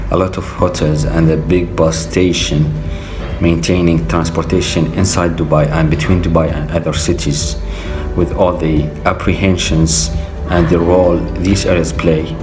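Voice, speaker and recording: A young man with a low, deep voice reads his own prepared account in a measured way. Clear music runs underneath him. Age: young adult. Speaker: medical student. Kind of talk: scripted personal account.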